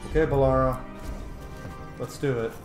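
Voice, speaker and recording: Male gaming host. Casual, reactive commentary, medium pitch, echoey recording, light game music behind. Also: fairly even intonation, normal speed. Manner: chatty, reacts aloud, thinks aloud. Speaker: let's play host.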